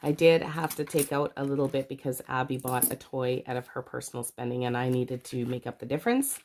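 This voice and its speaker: Congested low-voiced woman chatting. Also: fairly quick, darkish tone, slight background noise. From Canada. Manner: chatty, rambling, self-correcting asides. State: congested from a cold. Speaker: budgeting vlogger. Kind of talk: casual chat to camera.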